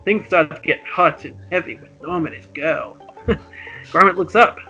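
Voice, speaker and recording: A man with a bright, forceful and fairly high voice. He talks casually as a podcaster and script reader, with a giggly, apologetic way about him. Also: normal speed, muffled recording, soft background music. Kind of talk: casual chat and amateur script reading.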